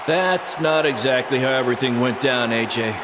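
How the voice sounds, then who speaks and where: A man's voice: medium pitch, normal speed, close to an everyday voice. An actor playing a remorseful, broken veteran wrestler, a man speaking earnestly and emphatically as a proud former mentor in a wrestling game. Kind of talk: wrestling promo on microphone. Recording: loud arena crowd and noise.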